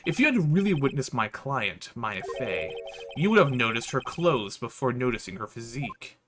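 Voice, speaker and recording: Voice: mid-range voice, big pitch swings, normal speed, natural voice. A streamer voicing an earnest young male defense lawyer in a courtroom video game, making firm, pointed challenges. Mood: firm, arguing.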